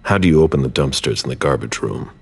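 An actor voicing a stern vampire investigator in a video game, curt and intimidating, with a commanding, interrogating manner. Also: male voice, rumbling low, lively intonation, fast, breathy edge, heavily acted. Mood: cool, probing. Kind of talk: video game cutscene acting.

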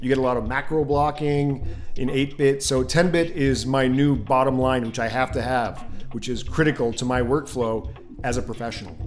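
Middle-aged man, North American accent, mid-pitched voice, emphatic explainer delivery, close studio mic, music behind. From Canada and the United States, born in Czechoslovakia. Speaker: video creator and colorist presenting. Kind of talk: explanatory talk to camera. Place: small room indoors at a desk. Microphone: studio condenser mic on desk stand.

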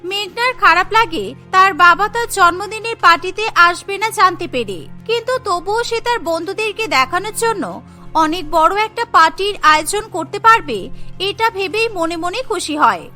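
Cartoon narrator: woman, high and bright, clear even telling. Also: normal speed, soft background music. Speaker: narrator and lead voice actor.

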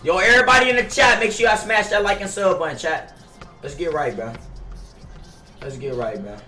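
A man's voice: high voice, a little fast. A young man livestreaming to his chat, brash and combative, with heavy slang and swearing. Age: young adult. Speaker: gaming livestreamer. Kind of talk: casual livestream chat to viewers. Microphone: gaming headset. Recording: roomy, distant sound under loud music. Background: loud hip hop music.

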